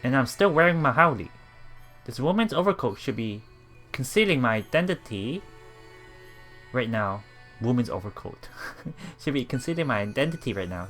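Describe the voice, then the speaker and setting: A man's voice: medium pitch, a bit bright. A man reading a game aloud as a let's play streamer, reacting casually and repeating phrases as he puzzles things out.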